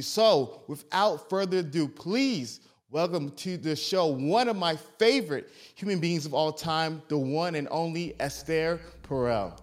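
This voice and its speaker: A young man hosts a podcast with a voice that sits slightly high. He talks with eager energy, gushing over his guest, and trips over his words in quick restarts. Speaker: podcast host. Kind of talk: enthusiastic podcast intro.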